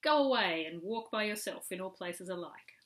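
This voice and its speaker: A woman plays a firm, shrewd cave woman from a storybook. Her voice is bright and forward, and she speaks briskly and commandingly, with a teasing edge. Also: medium pitch, animated, lightly acted. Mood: scornful, dismissive.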